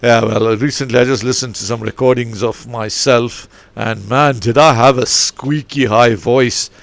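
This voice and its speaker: A man's voice: medium pitch, steady tone, moderate pace, creaky, no room sound. A man in his forties who grew up in Eswatini and works as a football coach, talking in a rambling, digressive way with many restarts. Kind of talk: rambling podcast monologue.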